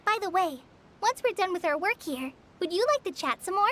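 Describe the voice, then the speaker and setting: A woman voicing a gentle, polite teenage forest ranger in a video game, girlish and slightly hesitant. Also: very high voice, lively intonation, fairly quick, exaggerated voice. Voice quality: girlish, light. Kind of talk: video game character acting.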